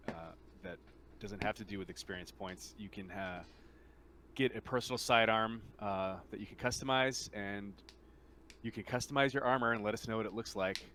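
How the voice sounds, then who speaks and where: A man explaining tabletop game rules casually as game master, in a halting, hesitant way. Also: lowish voice, bright, forceful tone, a little hiss or hum.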